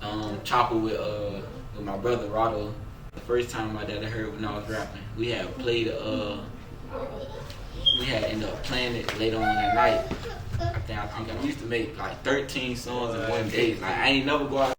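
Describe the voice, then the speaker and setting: A man's voice: fairly low pitch, expressive, moderate pace. A young man, a rapper being interviewed, chats casually in slangy talk and often repeats his phrases. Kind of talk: casual chat, telling stories. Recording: roomy, distant mic, loud background noise.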